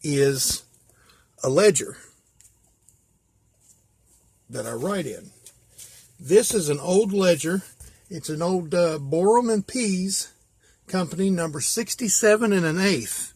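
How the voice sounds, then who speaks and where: An American man from West Virginia talking casually and informally as he shows things, pausing to think aloud. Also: high-pitched, expressive, darkish tone, breathy edge, no room sound. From West Virginia, USA. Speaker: hobbyist vlogger. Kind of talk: casual show-and-tell.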